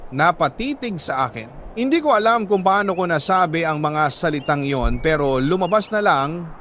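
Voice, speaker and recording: Higher-voiced Filipino man, sorrowful dramatic storyteller. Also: expressive, fairly bright tone, a little hiss or hum. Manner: sorrowful, deliberate, with dramatic pauses. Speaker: radio drama host reading listener letters. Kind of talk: dramatic scripted storytelling, first-person letter reading.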